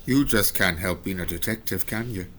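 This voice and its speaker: A man's voice: low voice, moderate pace, fairly bright tone. An actor playing a teasing, friendly older man in an audio drama. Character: teasing, friendly older male chess partner. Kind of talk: scripted audio drama dialogue.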